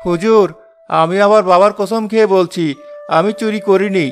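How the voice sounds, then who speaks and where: A man's voice: high-pitched, fairly even intonation, normal speed, faint music behind, lightly acted. A man voicing an honest, poor woodcutter in a cartoon tale, earnestly protesting that he is wronged. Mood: pleading. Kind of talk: dramatic cartoon voice acting.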